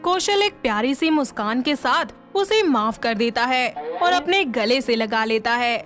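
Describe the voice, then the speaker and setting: A woman's voice: fairly high pitch, lively intonation, measured pace, a bit bright. A woman reading scripted narration for a moral bedtime story. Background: faint music.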